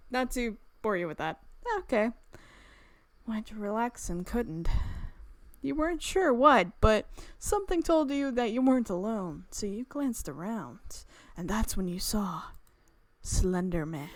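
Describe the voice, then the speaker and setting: Slow-paced woman reading a game aloud. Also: fairly high pitch, no room sound. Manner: chatty, exclamatory asides. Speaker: gaming channel host. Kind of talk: let's-play commentary, reading visual novel narration aloud with casual asides. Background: game music.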